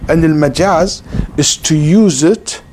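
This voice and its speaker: A man's voice: a little high, slow pace, darkish tone. A man with an Arabic accent teaches an interactive religious lesson as an Islamic lecturer, explaining didactically and checking understanding with questions. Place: live class at an Islamic center. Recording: clearly noisy live room recording.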